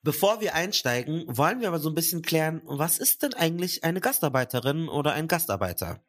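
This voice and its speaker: German podcast host with a cold. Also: male voice, on the high side, sing-song, brisk, a bit bright. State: has a cold. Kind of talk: podcast intro and moderation.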